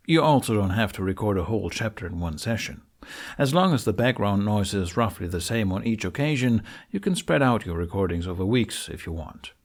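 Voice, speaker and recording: A man narrating a how-to tutorial, explaining things step by step with deliberate phrasing and pauses. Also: fairly low pitch, a little mellow. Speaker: tutorial host. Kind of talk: instructional narration for a how-to video.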